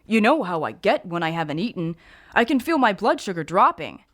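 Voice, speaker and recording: Woman playing a fussy, animated male sleuth. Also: medium pitch, brisk. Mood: complaining. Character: fussy, shrewd man who sleuths and walks with a cane.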